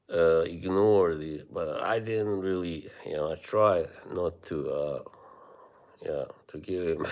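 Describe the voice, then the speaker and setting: A man from Bosnia with a Bosnian accent, chatting casually with viewers on a live stream, rambling and halting with many fillers. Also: deep voice, a little mellow.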